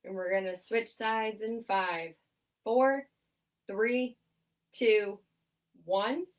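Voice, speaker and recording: A young woman occupational therapist leading a seated exercise class, her voice nasal and stuffed up, giving upbeat cues and steady countdowns. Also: mid-range voice, very slow, far from the mic. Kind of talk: instructional exercise cueing with countdowns.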